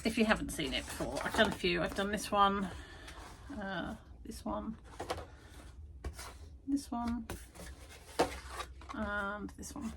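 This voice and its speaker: A woman's voice: medium pitch, slow, pauses often, background noise. Tired, chatty woman. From United Kingdom. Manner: chatty, self-deprecating, rambling with fillers. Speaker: vlogger and art workshop tutor. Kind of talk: casual chatty vlog. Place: her garden shed art studio.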